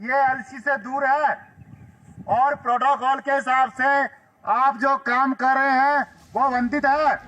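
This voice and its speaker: Very high, piercing loudspeaker warning voice. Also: steady tone, slow pace, lo-fi sound. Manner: insistent, formal, repetitive demands.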